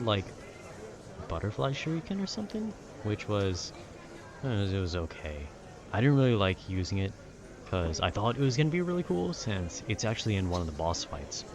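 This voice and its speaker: A man casually rambling about a video game with nerdy enthusiasm, often halting to search for words. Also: low voice, background noise. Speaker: voice actor making a rambling ambience video.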